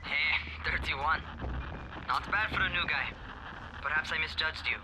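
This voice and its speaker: An actor playing a wary survivor who gives orders in a video game. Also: male voice, on the high side, unhurried, background noise, lightly acted. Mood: approving.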